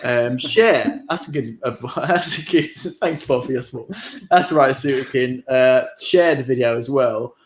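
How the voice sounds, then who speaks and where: A man's voice: medium pitch, a little slow, slight background noise. A man hosting a casual chat show, rambling with lots of fillers and laughs. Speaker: podcast host.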